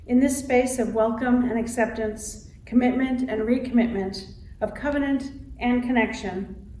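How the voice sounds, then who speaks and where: Mellow woman leading worship, roomy sound. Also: a little high, fairly even intonation, normal speed. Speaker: congregation president and guest worship leader. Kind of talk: scripted church service welcome and liturgy. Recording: roomy, distant mic with faint noise.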